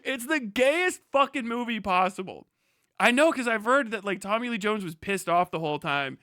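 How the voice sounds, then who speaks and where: A man in his thirties chatting casually as a livestream host, swearing freely and riffing in a sarcastic, animated way. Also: high-pitched, normal speed, clear, resonant tone, no room sound, clean studio sound. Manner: profane, animated, sarcastic ranting.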